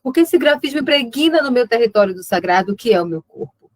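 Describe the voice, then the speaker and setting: A woman's voice: on the high side, lively intonation, brisk. An invited woman writer on an online panel, northern Brazilian accent, thinking aloud and circling back over her words in a dry, echo-free spot. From Amazonas, Brazil (Alto Solimões). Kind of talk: conversational talk in a web conference. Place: remote web conference.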